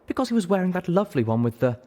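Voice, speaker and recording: A man's voice: sits high, big pitch swings, very fast, mellow, voice pushed up. A man voicing a vain, casually cold pony mother in a storybook, gossipy and melodramatic in her delivery. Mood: self-pitying, gossipy.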